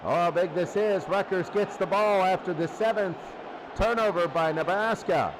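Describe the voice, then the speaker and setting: A man calling football play-by-play live in an excitable, exclamatory way, often repeating phrases. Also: high voice, moderate pace, background noise. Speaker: play-by-play sports commentator. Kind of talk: live American football commentary.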